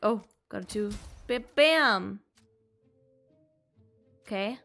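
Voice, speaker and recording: A woman's voice: medium pitch, lively intonation, drawn-out words, gravelly, a bit bright, faint music behind. A woman casually chatting to viewers while she plays a video game, exclaiming and making playful little sound effects. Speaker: streamer playing a video game. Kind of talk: casual live gameplay commentary to viewers.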